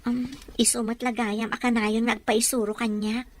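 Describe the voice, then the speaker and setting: A woman's voice: a little high, flat delivery, moderate pace, lightly acted. A woman playing a meek, apologetic young provincial office worker in an audio drama, with a Filipino accent. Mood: timid, polite. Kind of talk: Ilocano audio drama acting.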